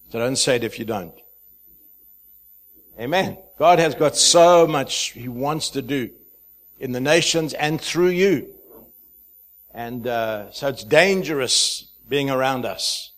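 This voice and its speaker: A man in his fifties from Zimbabwe, a pastor speaking to a church, chatty and halting, with many fillers and restarts. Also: medium pitch. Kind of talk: sermon introduction.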